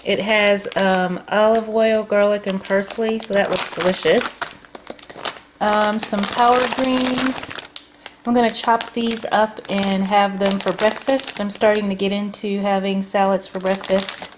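Woman vlogger talking thru her grocery haul, slow and chatty, lots of ums, bit of bag crinkle behind. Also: mid-range voice, little pitch movement, background noise. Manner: chatty, unhurried, many ums. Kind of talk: casual food haul narration. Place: home kitchen table.

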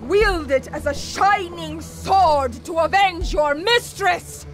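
A woman's voice: extremely high pitch, takes it slowly, soft background music. An actress playing a fanatical vampire villainess in a video game, rallying her army with grandiose, commanding oratory. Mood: commanding, zealous.

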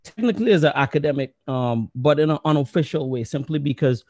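A man in his thirties from Trinidad, speaking on a video call with earphones, earnest and halting with repeated words. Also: fairly high pitch, expressive, warm tone, dry recording. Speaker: musician and associate professor. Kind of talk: panel talk.

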